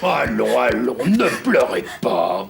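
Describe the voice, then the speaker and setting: An actor voicing a kindly, sensible male dwarf in a cartoon, with a gravelly voice. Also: mid-range voice, very animated, slow pace, over a noisy crowd, full character voice. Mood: soothing. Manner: soothing, sensible. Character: kindly, reasonable male dwarf, protective leader. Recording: music under the voice.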